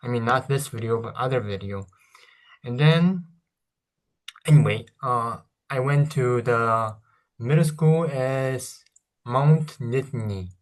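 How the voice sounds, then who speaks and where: A man's voice: medium pitch, slow pace. A man in his thirties with a Korean accent, speaking English as a second language and rambling casually through a console review, often hesitating and correcting himself. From South Korea. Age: 30s. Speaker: vlogger.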